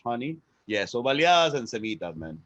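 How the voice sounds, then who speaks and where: A man's voice: mid-range voice, very animated, brisk, highs cut off, a crowd in the background. A man from Honduras speaking as a guest in a casual interview, repeating words and checking in with "right?" as he tells stories. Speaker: guest, cigar brand ambassador.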